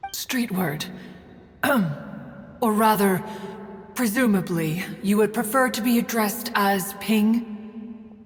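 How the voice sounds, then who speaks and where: Haughty, archaic female adeptus, measured delivery, higher register, game voice in long reverb. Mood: stiff, reluctant. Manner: pompous, archaic, refers to self as "one". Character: haughty, stiffly formal female bird adeptus, a proud immortal. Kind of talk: video game voice acting. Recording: long hall reverb, music behind.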